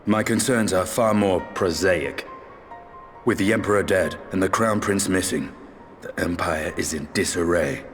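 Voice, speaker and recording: An actor with a British accent playing a gruff, aloof, dryly terse dragoon warrior in a video game cutscene, speaking in measured, formal, old-fashioned phrases. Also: male voice, sits low, fairly bright tone, faint music behind. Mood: dry, matter-of-fact.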